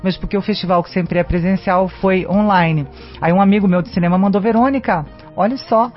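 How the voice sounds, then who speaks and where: An actress being interviewed as a guest, talking in a chatty, animated way with lots of fillers. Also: female voice, medium pitch, a little fast. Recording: dull lo-fi radio sound with faint music.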